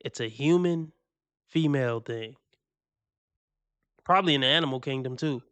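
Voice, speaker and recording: A man's voice: mid-range voice, moderate pace, fairly bright tone, clear, resonant tone, no room sound. A man hosting a stream, reacting to clips in slangy, casual talk, laughing and repeating his points for emphasis.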